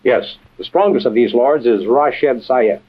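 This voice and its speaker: An actor playing a composed, authoritative intelligence chief and adventure storyteller, measured and dry, in a vintage radio drama with tinny sound. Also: male voice, medium pitch, close to an everyday voice. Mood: grave, explanatory. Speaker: host and narrator-character. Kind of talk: old-time radio adventure drama acting.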